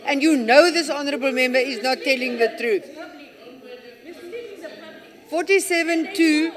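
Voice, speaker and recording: Woman mp butting in sharp, high voice. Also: unhurried. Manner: sharp, interjecting. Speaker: member of parliament. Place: large parliament chamber, indoors.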